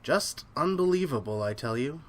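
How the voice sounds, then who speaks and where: A man's voice: a little high, expressive, normal speed, natural voice. A man playing a skeptical, joshing friend in 1930s-style radio drama dialogue, folksy and incredulous. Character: skeptical, joshing male friend, chummy and astonished.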